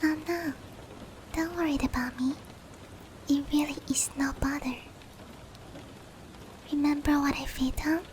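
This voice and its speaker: A woman plays a gentle female demon who comforts and protects in an ASMR roleplay. The voice is high and whispered, with very little rise and fall. The delivery is slow, breathy and soothing, with rain falling behind it. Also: steady tone, slight background noise. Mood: tender, reassuring.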